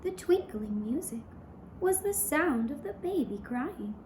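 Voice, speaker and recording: A woman narrating a fairy tale for a puppet show in a gentle, hushed, deliberate storytelling manner. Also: high-pitched, big pitch swings, reverberant, a little hiss or hum. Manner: slow, hushed, deliberate storytelling in short phrases.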